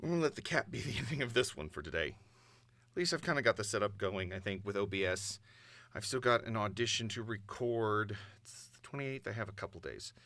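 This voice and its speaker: A man's voice: fairly low pitch, animated, brisk, gravelly, a bit bright, no room sound. Casual man vlogging off the cuff. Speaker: amateur voice actor, vlogger. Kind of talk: casual off-the-cuff vlog chat. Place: home recording space with sound isolation.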